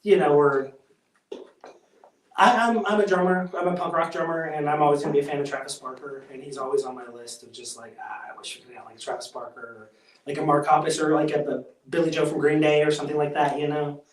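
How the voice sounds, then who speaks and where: A man, a drummer being interviewed, chatting casually in an excitable, halting, slangy, rambling way. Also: a little high. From United States. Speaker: band drummer, interview guest. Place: echoey room. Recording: echoey room, distant from mic.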